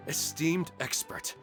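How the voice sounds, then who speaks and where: A man voicing a gentle widower in a video game, soft-spoken and sincere. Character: gentle widower longing to see his late wife. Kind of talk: game voice acting. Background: faint background music.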